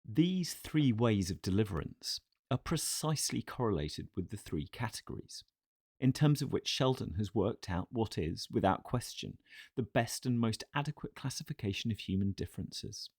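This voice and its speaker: A man reading a philosophical text as scripted narration, in a formal, measured and deliberate way. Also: lowish voice, lively intonation, darkish tone, slightly rough, no room sound, clean studio sound. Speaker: audiobook narrator.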